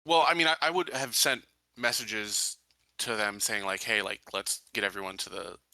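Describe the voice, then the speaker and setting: A man talking casually as a player in a tabletop roleplaying game, halting and questioning as he goes. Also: lowish voice, vocal fry, pushed and bright, no room sound, low-quality recording.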